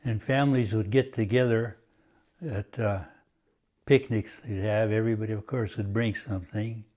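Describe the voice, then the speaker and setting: An older man from Washington State, Croatian as his first language, slowly recalling his family's past with frequent pauses. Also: lowish voice, fairly even intonation, a little mellow. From Washington State, USA. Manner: slow and halting, many uh pauses. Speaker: oral history interviewee. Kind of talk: reminiscing, oral history.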